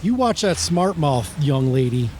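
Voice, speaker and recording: A man's voice: a little high, big pitch swings, unhurried, darkish tone, slight background noise. A man playing a temperamental small-town sheriff and scolding father in an amateur radio play. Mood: stern. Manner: gruff, scolding, blustering.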